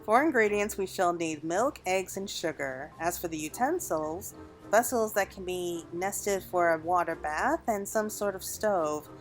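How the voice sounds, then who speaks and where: Lady reading out kindly matron game character, proper old fashioned wording, measured, music behind. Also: on the low side, expressive. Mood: gentle, reassuring. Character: kindly matronly woman sharing family recipes. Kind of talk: video game dialogue read aloud. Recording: background music under the voice.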